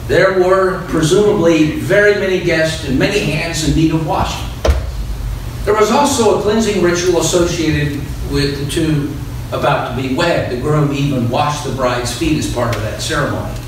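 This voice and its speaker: An older American man preaching a sermon as guest bishop, measured and formal, with deliberate pauses. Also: fairly high pitch. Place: church sanctuary, indoors. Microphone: far camera across the church. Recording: echoey church, distant mic, noisy.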